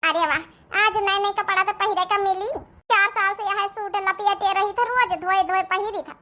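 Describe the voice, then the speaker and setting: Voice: very high-pitched, fairly even intonation, normal speed, bright, forceful tone. Someone voicing a tiny-voiced, meek village girl. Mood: shyly delighted. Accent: Awadhi. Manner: plaintive, naive. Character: meek, affectionate young village sister-in-law.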